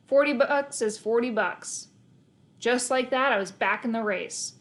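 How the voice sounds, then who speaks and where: A woman's voice: a little high, a little slow. A woman narrating a children's novel aloud in the first person, expressively, with emphasis on key words. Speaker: storybook reader.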